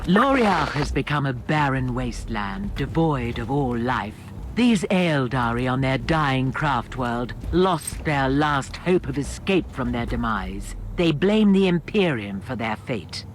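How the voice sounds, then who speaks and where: An actor playing a cold, methodical tech-priest in a video game, delivering formal and precise reports. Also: male voice, medium pitch, expressive, measured pace, hardly any pauses, slight background noise, close to an everyday voice. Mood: clinical, reporting. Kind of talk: video game cutscene acting. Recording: music behind the voice.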